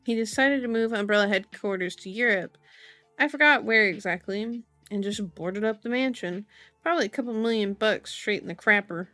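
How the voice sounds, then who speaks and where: A woman's voice: mid-range voice, normal speed, bright, forceful tone, no room sound, natural voice. A blunt squad-room veteran shrugging off rumours by the coffee pot, read out by a woman, music behind. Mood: dismissive. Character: gruff, dismissive male police teammate grumbling.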